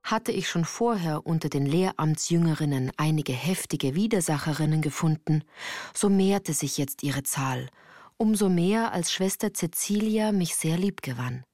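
A woman's voice: sits low, lively intonation, normal speed, darkish tone, no echo, clean studio sound. A woman reading scripted first-person literary narration for a radio play in a composed, articulate storytelling manner.

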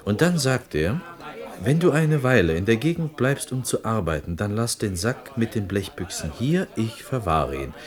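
An actor in a German radio play playing a humble, naive young village potter, hesitant and earnest in manner. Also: male voice, on the low side, very animated, normal speed.

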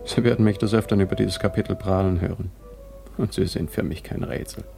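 An actor playing a cocky yet reflective young son who narrates his family's story in a vintage radio drama, deliberate and confiding. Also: sits low, fairly even intonation, vocal fry, music in the background. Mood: self-assured. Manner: deliberate, confiding, self-assured. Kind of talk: 1950s German radio drama, narrator monologue.

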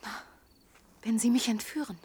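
Radio drama: lonely girl of twenty, lightish pitch, husky breath, imploring and proud, slipping toward whispers. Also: moderate pace, darkish tone. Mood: shy, confiding. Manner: urgent, imploring, emotionally open. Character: lonely twenty-year-old woman, hurt, pleading yet proud. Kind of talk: intimate German radio drama acting.

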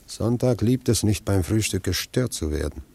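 An actor playing a grave, discreet anarchist secretary in a radio play, speaking in a hushed, dry, measured manner. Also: male voice, on the low side, expressive, slight background noise. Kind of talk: German radio play acting.

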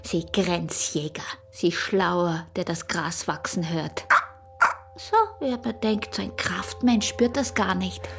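An actress playing a guarded, teasing young mountain peasant wife with an Austrian accent in an intimate radio drama, moving between breathy murmurs and sharp retorts. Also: female voice, lowish voice, slow, soft background music. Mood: teasing, hushed.

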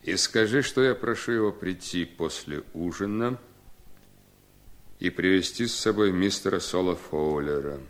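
An actor in a radio drama playing an authoritative master of the house, firm and commanding. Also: male voice, sits low, a little slow. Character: Horace, authoritative ailing master of a Southern house. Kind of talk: Soviet radio drama acting.

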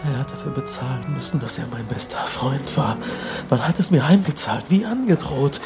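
An actor playing a weak, guilt-ridden young son in a radio drama, faltering and pleading in anguish. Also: male voice, medium pitch, lively intonation, moderate pace, close to an everyday voice. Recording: radio play with music underneath.

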